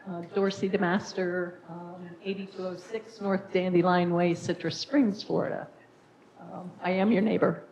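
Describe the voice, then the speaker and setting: Raspy-voiced woman presenting in an echoey chamber. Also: fairly low pitch, little pitch movement, moderate pace, a little mellow, muffled recording. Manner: friendly, informal presenting style with frequent fillers. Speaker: nonprofit director giving a presentation. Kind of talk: public presentation at a council meeting. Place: city council chamber.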